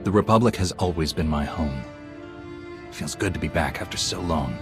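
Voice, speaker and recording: Man playing a deep-voiced, resolute Jedi commander. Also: music in the background, lightly acted. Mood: calm, sincere. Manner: measured, earnest, authoritative. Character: male Jedi commander of an alliance, calm and resolute. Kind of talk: video game voice acting.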